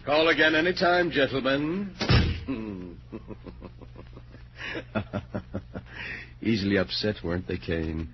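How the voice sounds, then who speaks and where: An actor playing a sly, morbid invalid gentleman who gloats with theatrical politeness, in a 1940s radio horror style. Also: mid-range voice, lightly acted. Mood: macabre gloating. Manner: polite, theatrical, drawn-out relish. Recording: dull noisy 1940s radio recording with hum.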